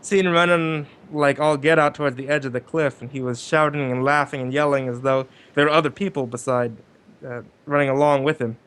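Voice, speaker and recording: A man acting a flustered, skeptical real estate agent in an old-time radio drama, talking casually with stammers and halting phrases. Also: mid-range voice, steady tone, fairly bright tone, clear, resonant tone, close to an everyday voice. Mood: earnest, grim.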